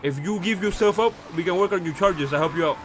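Fast, high man barking. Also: a crowd in the background, noisy background. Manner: barks commands, mixes Spanish into English, repeats himself. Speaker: police officer in a roleplay game. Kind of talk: in-character roleplay.

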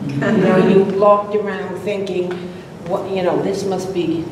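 A woman's voice: mid-range voice, fairly even intonation, unhurried. A woman in her eighties from Long Island, telling personal memories to an audience. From Long Island, New York. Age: 80s. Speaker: college alumna, audience speaker. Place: lecture room with seated audience. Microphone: distant camera microphone. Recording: echoey room, distant mic, clear noise.

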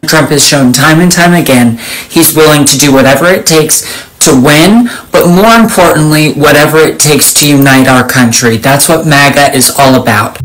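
A woman's voice: low-pitched, moderate pace, low-quality recording. A woman giving an earnest, declarative political talk to camera. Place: indoor room.

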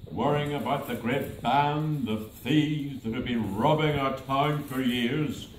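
An older man playing a pompous, grumpy sultan in an amateur stage pantomime, declaiming his lines. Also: medium pitch. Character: grumpy, pompous sultan burdened with unmarried daughters. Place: village hall stage, indoors. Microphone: distant camera in the audience. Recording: echoey village hall, noisy.

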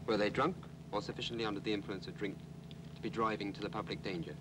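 An actor playing an official, questioning police sergeant with an English accent in an old British film. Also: male voice, fairly low pitch, steady tone, fast, close to an everyday voice. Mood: brisk, probing. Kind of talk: 1950s British film acting. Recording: faintly noisy old film soundtrack.

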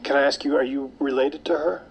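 An actor playing an obsessed, brooding man in a naturalistic audio drama, with a hesitant, halting delivery. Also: medium pitch, steady tone, creaky, slight background noise, close to an everyday voice. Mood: wary, hesitant. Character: obsessed, brooding man seeking supernatural truth.

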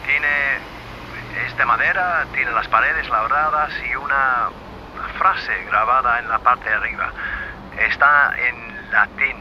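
An actor playing a hesitant man, a native English speaker with an English-speaking accent, leaving a halting phone message in Spanish for an audio drama. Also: mid-range voice, dull audio. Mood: hesitant, helpful. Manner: halting, searching for words. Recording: noisy answering-machine message.

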